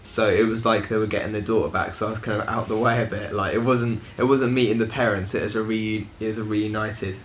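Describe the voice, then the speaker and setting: A man's voice: fairly low pitch, fast, few pauses, a little hiss or hum. A young British man with a British accent, chatting casually and a bit rambling in a couple's Q&A video. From Britain. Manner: relaxed, rambling, many "like" fillers. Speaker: vlogger. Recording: light background music.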